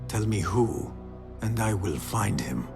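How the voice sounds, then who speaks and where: A man's voice: big pitch swings, slow pace, vocal fry, heavily acted. An actor playing a stern, determined male assassin in a game cutscene, speaking tersely. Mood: resolute. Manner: low, terse, resolute. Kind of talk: video game cutscene acting. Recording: music playing behind.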